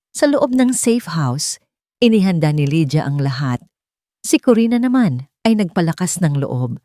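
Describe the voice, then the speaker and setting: A woman reading scripted dramatic narration as a storyteller, in a measured, deliberate manner. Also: low voice, dark tone, dry recording.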